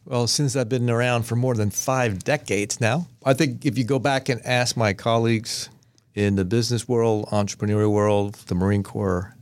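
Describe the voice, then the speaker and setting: A man in his fifties, originally from Vietnam, answering as a podcast guest in a measured, earnest way. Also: mid-range voice, steady tone, dry recording. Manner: measured, earnest, pauses between phrases. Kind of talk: reflective interview answers.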